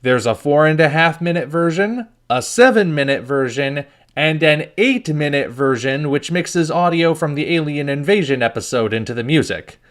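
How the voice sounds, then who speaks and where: Higher-pitched man narrating a wry review. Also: animated, normal speed. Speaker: reviewer, show host.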